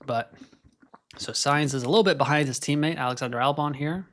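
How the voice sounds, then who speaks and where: A man's voice: a little high, a little fast, fairly bright tone. A young man with an American accent, born in Scotland, hosting a casual live stream and chatting while he watches qualifying. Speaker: livestream host.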